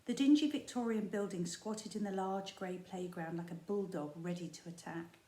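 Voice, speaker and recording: A woman's voice: mid-range voice, moderate pace. Woman teacher reading aloud in an echoey room. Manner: expressive storytelling with small slips and asides. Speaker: primary school teacher. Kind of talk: reading a children's book aloud.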